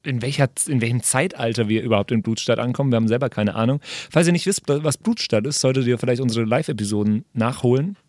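A man's voice: mid-range voice, fast talker, hardly any pauses, a little mellow, no room sound, clean studio sound. A man hosting an improvised role-play podcast as one of its players, chatting casually.

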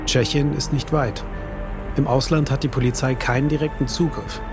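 A man's voice: mid-range voice, steady tone, moderate pace. A man reading dramatic scripted narration for a true crime documentary. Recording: loud suspense music underneath.

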